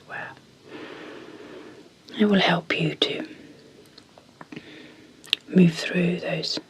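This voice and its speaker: A woman with a slightly low voice leads an ASMR sleep hypnosis. She speaks very slowly and gently, close to a whisper. Long, deliberate pauses separate her short phrases. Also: fairly even intonation. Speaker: ASMR host and clinical psychologist.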